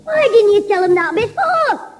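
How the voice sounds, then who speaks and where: An actor playing a cheeky, mischievous schoolboy, in 1960s radio comedy. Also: male voice, big pitch swings, moderate pace. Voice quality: high boyish voice, cheeky. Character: cheeky mischievous schoolboy, small, high-voiced.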